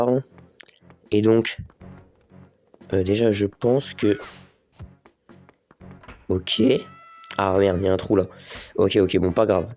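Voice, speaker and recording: Man with a slight cold, low mellow voice. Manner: chatty, thinks aloud, talks to viewers as les gars. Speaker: gaming video host. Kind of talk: casual let's play commentary.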